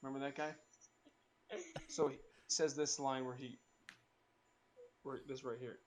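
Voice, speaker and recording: A man's voice: mid-range voice, level tone, slight background noise. A man reading out script stage directions as narrator, casually and with some stumbles. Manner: halting, stumbles over the script. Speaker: narrator of a script table read.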